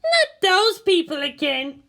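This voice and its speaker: Haughty teenage girl pilot played by a female reader, extremely high, animated, boastful and indignant storybook character. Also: slow pace, gravelly, heavily acted.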